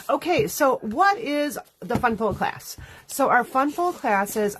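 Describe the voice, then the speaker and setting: A woman's voice: fairly high pitch, slight background noise. Congested, nasal woman chatting casually. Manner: chatty, rambling, laughs often. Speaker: card-making instructor. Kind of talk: casual livestream chat.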